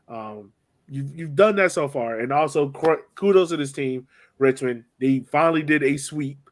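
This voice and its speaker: A man hosting a casual sports podcast, chatting informally and speaking straight to his co-hosts. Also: medium pitch. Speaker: podcast host.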